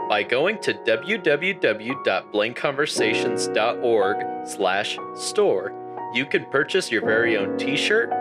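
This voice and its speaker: A male announcer giving a playful, mock-salesman promo for a theater company before announcing the play. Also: medium pitch. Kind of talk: comic promo and show announcement. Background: guitar music.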